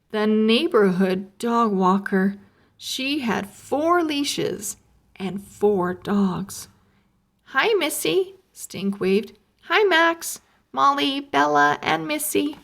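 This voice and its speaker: A woman reading a children's chapter book aloud, slow and expressive, raising her voice for the characters' lines. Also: mid-range voice, vocal fry. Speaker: read-aloud narrator. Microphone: close mic, camera over an open book.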